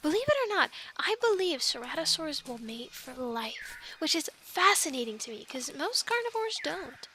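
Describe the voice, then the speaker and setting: A woman's voice: high voice, big pitch swings, moderate pace, few pauses, bright, forceful tone, a little hiss or hum, exaggerated voice. A woman acting a chatty young island castaway who loves animals, in an intimate, rambling ASMR roleplay with hesitant, earnest explanations. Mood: musing, eager.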